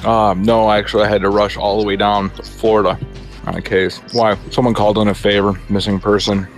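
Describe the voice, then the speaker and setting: A man with a fairly low voice plays a tabletop role-playing game. He improvises his character's lines casually and matter-of-factly on a headset, with soft music in the background. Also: flat delivery, a little fast.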